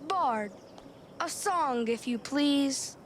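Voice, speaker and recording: A woman's voice: high-pitched, expressive, drawn-out words, slight background noise, heavily acted. A woman voicing an earnest, imaginative young boy in a video game, with a childlike, eager manner. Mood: playfully grand.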